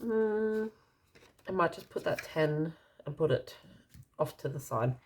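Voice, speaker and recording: An Australian woman with a slightly croaky, dry voice, casually narrating as she counts out cash in an unhurried way. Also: deep voice, expressive, slight background noise. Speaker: budgeting vlogger.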